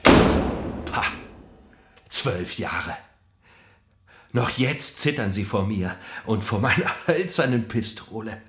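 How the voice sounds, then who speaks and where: A man's voice: fairly low pitch, lively intonation, takes it slowly, long pauses. An actor playing an embittered, boastful male convict coming apart in prison, in a theatrical, obsessively repetitive radio play monologue. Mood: bitter, boastful. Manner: obsessively repeating, swinging from whispers to shouts. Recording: roomy echo, music behind. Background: music.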